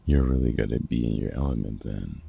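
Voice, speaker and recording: A man playing a gruff, wry older vampire hunter in an ASMR roleplay, a fatherly mentor with a very gravelly, husky voice and dry, teasing delivery. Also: a bass voice, fairly quick, warm tone, full character voice. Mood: amused, teasing. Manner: dry, teasing, hesitant with unfamiliar slang. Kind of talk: ASMR roleplay audio drama, one-sided conversation.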